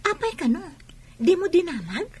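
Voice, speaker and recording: A woman's voice: very high voice, sing-song, normal speed, a little mellow. A woman with an Ilocano accent playing an inquisitive, fretting character who presses questions in a radio drama.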